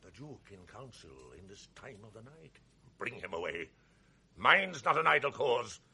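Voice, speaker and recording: An outraged old father, husky and bright, wildly swinging pitch, fierce accusing radio-play delivery. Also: very animated, measured pace, exaggerated voice. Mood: indignant. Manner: accusing, fierce rhetorical outbursts. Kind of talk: 1980s radio drama acting.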